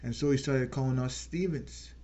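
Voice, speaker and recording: A man hosting his own channel, giving an earnest unscripted political talk and slowing down to stress key words. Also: mid-range voice, mellow. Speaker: channel host.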